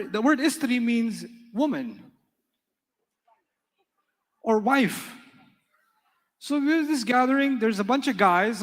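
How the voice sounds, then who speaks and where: A man with a fairly high voice teaches a live class. His first language is Urdu, and he keeps it playful, drilling students and telling stories. The sound carries a long reverb from a big lecture hall. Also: fairly bright tone. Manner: playful, joking, quizzes students in call-and-response. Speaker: Arabic teacher.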